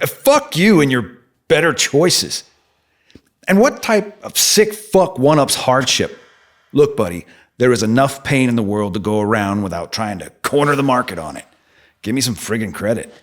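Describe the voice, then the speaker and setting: An actor playing a blunt, needling, foul-mouthed streetwise stranger who lives in the subway, in an audio drama. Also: male voice, mid-range voice, very animated, moderate pace, fairly bright tone. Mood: angry, blunt. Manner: blunt, profane, probing.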